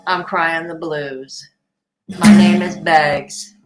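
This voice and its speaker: A woman voicing a whiny, broke man who sings a slow, drawn-out begging blues in a mocking sing-song. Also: deep voice, fairly even intonation, over loud music, pitched below the performer's own voice.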